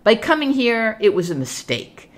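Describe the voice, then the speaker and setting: A woman storyteller voicing an indignant young bride, sharp and reproachful in manner. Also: fairly high pitch, sing-song, moderate pace, voice pushed up. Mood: angry.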